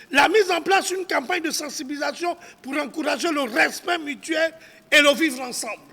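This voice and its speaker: A man with a very high, creaky voice reads a formal statement. His delivery is solemn and declamatory, with occasional stumbles over the written text. Long reverb from a hall trails his bright, forceful words. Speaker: civil society spokesman. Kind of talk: formal public statement read aloud.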